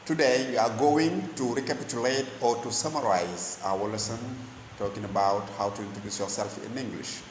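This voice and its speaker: A man's voice: mid-range voice, steady tone, a little hiss or hum. Senegalese man teaching English, echoey room. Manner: slow, deliberate delivery, switching between English, Wolof and French. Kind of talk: bilingual lesson in English and Wolof.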